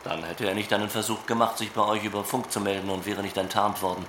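A man's voice: lowish voice, flat delivery, fast, natural voice. A man playing a wary, reproachful Soviet KGB officer in a dramatised dialogue, questioning tersely. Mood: probing, reproachful. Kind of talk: dramatised reading of a literary dialogue. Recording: roomy sound with loud ambient noise.

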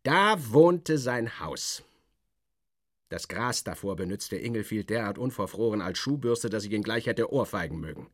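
A man giving a theatrical first-person reading of a literary satire, wry and animated, with dramatic pauses. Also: fairly low pitch, no room sound, studio quality.

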